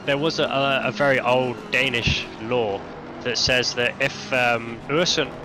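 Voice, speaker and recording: A man giving casual, halting live commentary on a sim race for a charity stream, full of restarts and fillers. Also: medium pitch, fairly even intonation, noisy background. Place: lives in Denmark.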